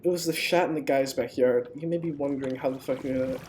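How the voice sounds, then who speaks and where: A woman's voice: very deep voice, fairly even intonation, quick pace. A woman giving casual live game stream commentary, informal and sweary, jokingly pleading with viewers. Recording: clearly noisy home stream, keyboard clicks.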